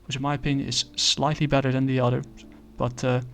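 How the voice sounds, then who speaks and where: Halting man reviewing comics, flat delivery. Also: mid-range voice, soft background music. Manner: halting, many fillers and restarts. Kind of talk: casual spoken review.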